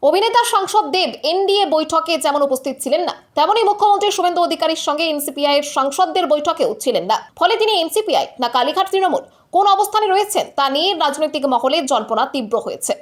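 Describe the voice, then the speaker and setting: Woman, very high voice, rapid pace, scripted news presenting. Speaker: news presenter for a political news channel.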